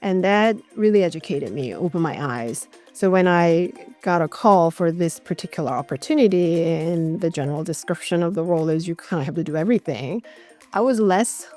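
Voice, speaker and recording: Middle-aged woman, slightly low voice, reflective. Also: expressive, a little fast, a little mellow, soft background music. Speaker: interview guest, corporate legal executive. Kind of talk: conversational interview answers.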